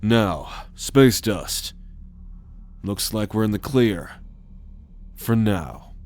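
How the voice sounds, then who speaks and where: A man acting a roguish, protective alien scout captain in a sci-fi ASMR roleplay, measured and authoritative as he gives orders. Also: fairly low pitch, animated, darkish tone. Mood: calm, assured.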